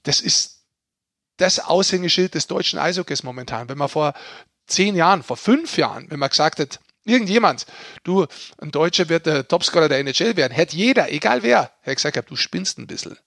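A man's voice: a little high, lively intonation, fairly quick, gravelly, fairly bright tone, no echo, clean studio sound. A man talking casually and emphatically about sport as a podcast co-host, explaining his points. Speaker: podcast co-host and ice hockey pundit.

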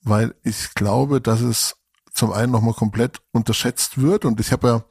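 A German man in his forties co-hosting a podcast, talking in a relaxed, reflective way with some fillers. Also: mid-range voice, moderate pace, vocal fry, slightly rough, no room sound, studio quality. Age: 40s. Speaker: podcast co-host. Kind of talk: casual podcast conversation.

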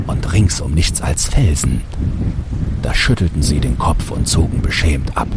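A man reading a fairy tale aloud as an expressive storybook narrator. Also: a bass voice, background noise.

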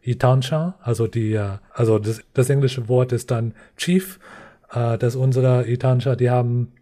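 A man from a reservation in the US Northern Plains, speaking German as a second language, explaining slowly with many pauses and fillers as a podcast guest. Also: mid-range voice, fairly even intonation, warm tone. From Lakota reservation, US Northern Plains. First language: not German. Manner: hesitant, many fillers and restarts. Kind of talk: podcast interview, reflective explaining.

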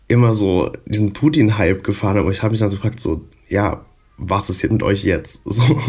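A man's voice: deep voice, darkish tone. A man co-hosting a podcast, reading the intro and then chatting hesitantly with many fillers. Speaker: podcast co-host.

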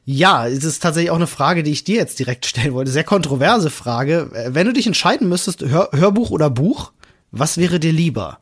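A man's voice: a little high, expressive, a little fast, husky. A man co-hosting a casual podcast, talking in a wordy way with many digressions and hesitations. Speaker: podcast co-host.

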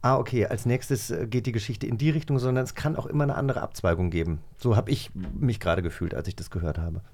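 A man's voice: lowish voice, fast talker, a little mellow. A man co-hosting a podcast, chatting and asking questions in a casual conversational way. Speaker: podcast co-host. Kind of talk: casual interview chat.

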